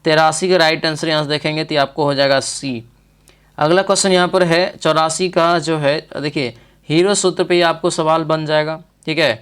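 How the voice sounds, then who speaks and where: Clear-voiced Bihari teacher, slightly high-pitched man. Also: steady tone, normal speed, fairly bright tone. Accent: Bihari Hindi. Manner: instructional, repeatedly checking with listeners. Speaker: exam coaching teacher. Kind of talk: exam question walkthrough lesson.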